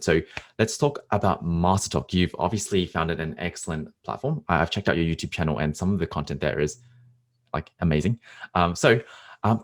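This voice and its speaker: A man hosting a podcast interview, enthusiastic and given to repeating words for emphasis. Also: low voice. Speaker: podcast host.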